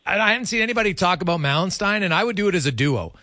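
A man's voice: high-pitched, animated, rapid-fire, sharp tone, dry recording, studio quality. A man giving opinionated, conversational hockey analysis as a guest, speaking off the cuff with emphasis. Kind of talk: unscripted hockey talk.

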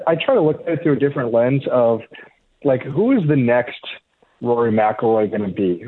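A man giving measured, explanatory opinions as a golf journalist in a conversational radio interview. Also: mid-range voice. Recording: thin, tinny phone-like connection.

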